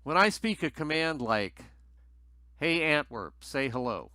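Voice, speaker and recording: A man's voice: on the high side, sing-song, a little slow, bright, forceful tone, no room sound. A man narrating a scripted technical tutorial in a methodical, explanatory way as its presenter.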